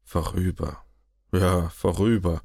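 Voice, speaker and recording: A man's voice: low voice, expressive, moderate pace, dry recording, clean studio sound. A man voicing a gloomy, brooding older judge in an audiobook, murmuring darkly.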